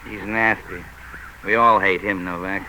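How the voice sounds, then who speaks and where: An actor playing a gruff, sarcastic, needling homicide detective in a 1940s radio crime drama. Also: male voice, deep voice, fairly even intonation, a little slow, pushed and bright, full character voice. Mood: sarcastic, threatening. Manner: needling, dry, tough. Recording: dull, noisy vintage radio recording.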